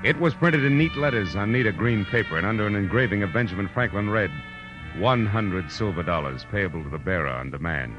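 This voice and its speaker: An actor playing a laconic, wisecracking private detective with an American accent, in the style of 1940s radio drama. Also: male voice, lowish voice, fairly quick, muffled recording, lightly acted. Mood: wry narrating. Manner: dry, wisecracking, clipped. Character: wry, laconic hardboiled male private detective narrating his case. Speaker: lead actor and narrator. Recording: old radio recording with music underneath.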